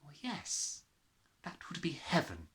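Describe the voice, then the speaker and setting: A kindly storybook schoolmistress, played by a man, speaks in a soft and slightly raised voice. She is calm, measured and tender, with a reassuring manner. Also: on the high side, pitched above the performer's own voice, exaggerated voice. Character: gentle, kindly young woman teacher, calm and reassuring.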